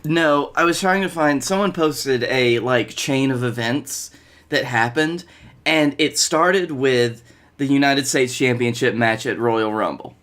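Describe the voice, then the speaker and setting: A man's voice: medium pitch, measured pace, fairly bright tone. A young man co-hosting a podcast in casual, conversational chat. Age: young adult. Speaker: podcast co-host. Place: bedroom, video call.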